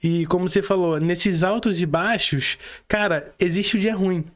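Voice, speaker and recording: A Brazilian man with a high-pitched voice hosts a podcast conversation. He speaks casually and colloquially. His sentences often end in short tags that check the listener is following. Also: moderate pace. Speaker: podcast host.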